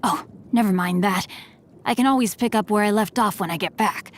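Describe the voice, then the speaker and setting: A woman's voice: medium pitch, fairly quick, close to an everyday voice. A woman voicing a courteous young swordsman-scholar. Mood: polite, composed. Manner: polite, formal and gently teasing. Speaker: game character voice lines. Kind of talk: scripted game voice lines.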